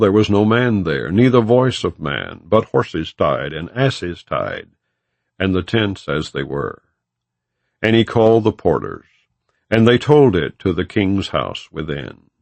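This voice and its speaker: A man narrating an audiobook of scripture in a solemn, measured, formal, declamatory way. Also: low-pitched, dry recording.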